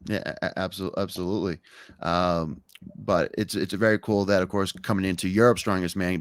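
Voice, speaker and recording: A man's voice: sits low, fairly bright tone, no echo, clean studio sound. A young man hosting a podcast, talking casually and rambling, often stumbling and restarting his sentences. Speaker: podcast host.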